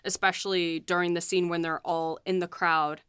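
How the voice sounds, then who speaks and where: Smooth-voiced woman chatting casually. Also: lowish voice, moderate pace, no echo, clean studio sound. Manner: animated, laughs easily, many fillers. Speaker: podcast co-host and series writer. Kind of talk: casual chat.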